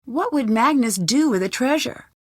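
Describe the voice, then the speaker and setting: A young woman detective in a video game, with a fairly high voice. She is polite and inquisitive, earnest and composed, in a dry studio recording. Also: lively intonation, normal speed, no echo. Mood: curious, polite. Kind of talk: video game character dialogue.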